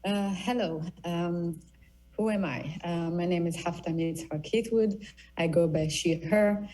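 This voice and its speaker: A woman's voice: fairly low pitch, a little slow, mellow, distant mic, poor audio. A woman in her thirties from Ethiopia, giving a presentation talk as guest speaker, with many hesitant fillers. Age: 30s.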